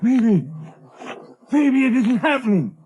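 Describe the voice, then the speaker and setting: A cowardly small-time crook pleads in an old 1930s radio melodrama. The voice is extremely high for a man, husky and dark. He speaks in fearful, halting repetitions. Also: expressive, highs cut off, exaggerated voice. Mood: despairing. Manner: fearful, halting, repeats words. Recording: vintage 1930s radio broadcast.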